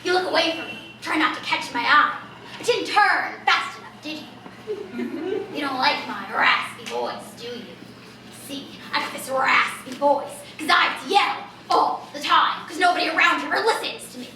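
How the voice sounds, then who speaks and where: A raspy, high-pitched street eccentric, played by a woman, rambles and jabs at strangers, breaking into cackles. The voice is bright and edgy, caught from a distance in a roomy, echoing space. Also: lively intonation, moderate pace, slight background noise. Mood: taunting. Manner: rambling, confrontational, cackling laughs. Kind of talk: theatrical comic monologue.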